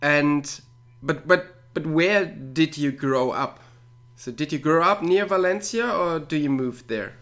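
A man's voice: a little high, unhurried, a bit bright. A man hosting a podcast interview, asking friendly questions with fillers and repeated words. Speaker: podcast host.

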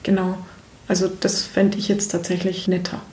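A woman's voice: lowish voice, monotone, moderate pace, a little mellow. A young woman from Bavaria, a school teacher, answering interview questions in a frank, chatty way and laughing easily. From Bavaria, Germany. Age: young adult. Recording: roomy echo.

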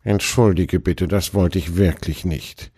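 A man doing a soft-spoken gentle fox. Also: low-pitched, fairly even intonation, a little slow, husky, dry recording, studio quality. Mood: sheepish. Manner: soft, hesitant, musing. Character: gentle male fox, thoughtful and shy.

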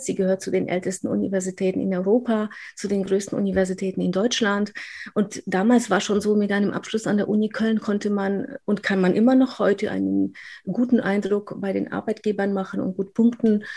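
A woman from Poland talks in a Polish accent with a rolled R, her voice slightly husky. She tells her own story candidly as an interview guest, often restarting her sentences and filling pauses. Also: mid-range voice, a little mellow. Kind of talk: casual interview talk.

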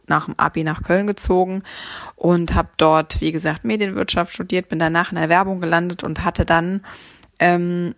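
A woman's voice: lowish voice, dry recording, clean studio sound. A young woman from Saarland casually telling her own story with lots of fillers. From Saarland, Germany. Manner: chatty, many fillers, lively retelling.

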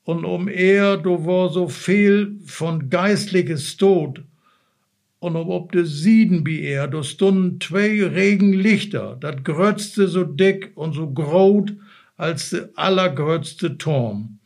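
A man with a Low German accent, a native Low German speaker, reading a fairy tale aloud slowly and haltingly. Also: vocal fry, darkish tone. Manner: slow, halting and stumbling. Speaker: guest reader.

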